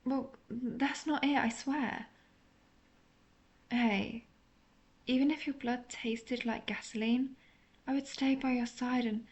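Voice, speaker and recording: A woman playing a lovesick, apologetic female vampire in an intimate audio roleplay, speaking in a hesitant, confessional, softly melancholic way. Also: on the high side, creaky, dull audio. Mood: guilty, pained, then wry.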